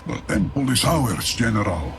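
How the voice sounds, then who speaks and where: Proud strategist leader for a war game: solemn, very creaky, animated phrasing, big score underneath. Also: male voice, mid-range voice. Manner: measured, solemn, theatrical. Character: proud, shrewd male faction leader addressing his general. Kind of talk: dramatic video game cutscene acting. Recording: loud music behind the voice.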